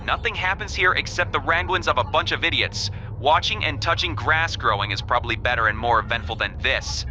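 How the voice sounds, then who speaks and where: A young man playing a sarcastic, rebellious new recruit who breaks into shouting. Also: medium pitch, animated, fairly quick, slight background noise, low-quality recording. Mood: grumbling.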